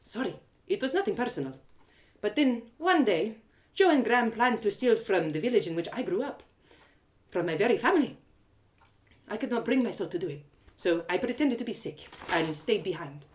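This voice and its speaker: Woman playing a chatty, knowing former thief, lively pitch. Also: moderate pace, lightly acted. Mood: confessing. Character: frank, inquisitive former woman thief, hardened village storyteller.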